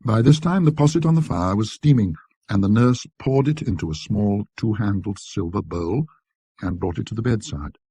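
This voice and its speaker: A man reading a classic ghost story as a scripted narration, in a precise, scholarly, measured manner. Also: fairly low pitch, mellow, breathy edge, dry recording.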